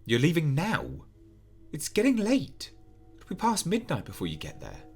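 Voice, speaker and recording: A fretful middle-aged mother fusses over her daughter, as a man puts her on, her voice pushed high. Soft music plays faintly under her. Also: wildly swooping pitch, moderate pace. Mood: worried.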